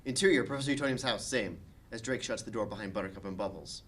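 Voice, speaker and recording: A man's voice: on the low side, fast, fairly bright tone. A man reading stage directions as the narrator of a comedic script table read.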